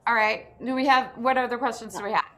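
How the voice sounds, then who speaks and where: A woman's voice: fairly high pitch, steady tone, fast, pushed and bright. A woman hosting a workshop, explaining informally over a video call with slight background noise. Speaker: workshop host and photographer. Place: online video call, speaking through computer.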